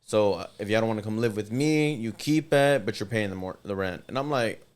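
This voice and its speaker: A man hosting a podcast from Dallas, asking questions in a relaxed, conversational way. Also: lowish voice. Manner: relaxed, conversational, drawn-out asides. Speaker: podcast host. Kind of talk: casual interview chat.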